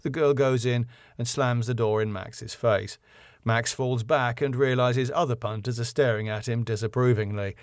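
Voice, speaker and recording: A man's voice: mid-range voice, steady tone, moderate pace, no room sound, studio quality. A man reading stage directions from a sitcom script as scripted narration.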